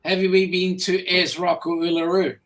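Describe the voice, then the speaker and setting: A co-host from Australia or New Zealand chatting casually on a live stream, pausing often with "um" and reading viewer comments aloud. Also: medium pitch, level tone, a loud crowd. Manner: hesitant, many "um" fillers, reads comments aloud.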